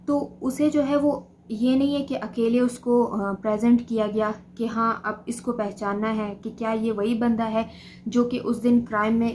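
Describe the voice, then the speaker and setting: A woman giving an explanatory study lesson as a lecturer, reading key phrases slowly and then explaining them plainly. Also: on the high side, darkish tone, a little hiss or hum. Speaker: law lecturer. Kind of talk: explanatory study lesson mixing read-out English notes with commentary.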